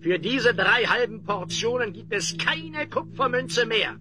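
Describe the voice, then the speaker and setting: High-voiced, haughty slave overseer. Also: male voice, expressive, measured pace, music in the background. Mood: impatient. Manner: imperious, scornful. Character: haughty, stingy male chief overseer of slave labor. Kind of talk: German fantasy audio drama acting.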